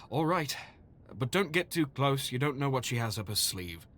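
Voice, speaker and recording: An actor in an audio drama playing a detective's sceptical doctor partner, weary and doubtful. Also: male voice, mid-range voice, sing-song, moderate pace, fairly bright tone, a little hiss or hum. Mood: doubtful, resigned.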